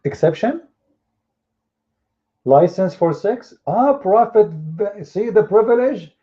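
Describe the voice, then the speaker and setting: A man's voice: sits high, expressive, slow pace, dark tone. Combative Arabic-accented man debating. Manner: combative, rhetorical questions, mocking. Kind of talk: heated live online debate. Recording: phone-like lo-fi audio.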